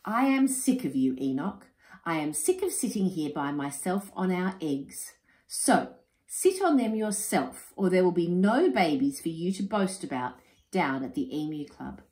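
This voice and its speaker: A woman voicing a fed-up, sharp-tongued mother emu in a storybook reading. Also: on the low side, very animated, unhurried. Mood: scolding. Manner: scolding, emphatic. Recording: roomy echo.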